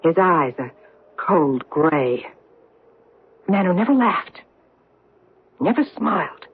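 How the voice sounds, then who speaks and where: An actress in an old-time radio drama playing a vengeful survivor confronting her enemy with deliberate, accusing intensity. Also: on the low side, sing-song, slightly rough, full character voice. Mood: bitter, haunted. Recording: muffled vintage radio recording.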